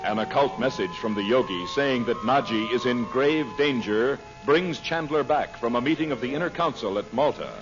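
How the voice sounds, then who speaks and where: A man's voice: medium pitch, expressive, moderate pace, few pauses. A man announcing and narrating in a formal, emphatic old-time radio style. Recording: muffled old radio recording. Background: loud music.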